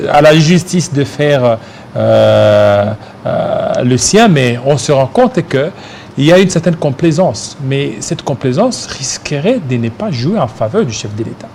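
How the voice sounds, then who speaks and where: A man's voice: mid-range voice, creaky, darkish tone. Congolese male interviewer over background noise. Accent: Congolese French. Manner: probing, challenging questions. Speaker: interviewer, host. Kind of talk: political interview.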